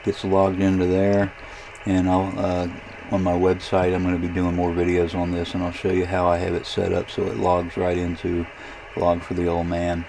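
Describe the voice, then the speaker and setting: A man casually narrating a software demonstration as a radio hobbyist, thinking aloud in a hesitant, rambling way with a gravelly voice. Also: sits low, monotone, normal speed, hardly any pauses, noisy background.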